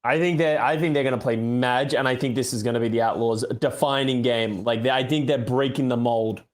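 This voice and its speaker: A man's voice: medium pitch, steady tone, a little fast. A man on an esports podcast panel giving confident, opinionated predictions in casual conversation.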